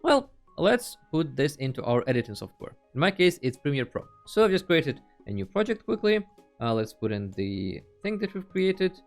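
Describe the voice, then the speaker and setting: Male tutorial host, animated mid-range voice, casual and playful delivery, faint music underneath. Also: a little high, normal speed. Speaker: host of a video game tutorial channel. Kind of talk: casual tutorial screen narration.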